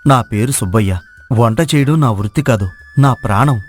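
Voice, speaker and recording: A man's voice: mid-range voice, normal speed, natural voice. A man playing a humble, kind-hearted village cook in a moral story, speaking earnestly and plainly. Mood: caring. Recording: dry studio voice over music.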